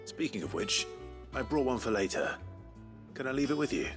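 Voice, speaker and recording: An actor playing a brooding young warrior in a game cutscene, gentle with his hound, with a British accent. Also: mid-range voice, big pitch swings, normal speed, natural voice. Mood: calm, earnest. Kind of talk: video game cutscene acting. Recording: background music under the dialogue.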